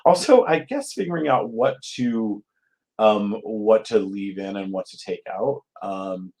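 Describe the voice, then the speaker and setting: A man with a slightly low voice talks casually as a guest. He is thoughtful and hesitant, often pausing to find his phrasing. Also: lively intonation, darkish tone. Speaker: guest playwright. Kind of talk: casual interview chat.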